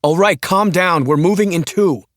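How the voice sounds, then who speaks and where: A man's voice: sits high, moderate pace, no echo, studio quality, voice pushed up, natural voice. A man voicing a weary American airline pilot, exasperated and pleading, in a thriller audiobook. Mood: urgent, exasperated.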